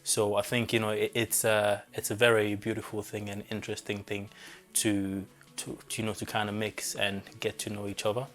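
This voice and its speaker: A man's voice: on the low side, flat delivery, moderate pace, a bit bright. A young man from Rwanda who grew up in Belgium, answering interview questions reflectively and searching for words. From born in Rwanda, grew up in Belgium.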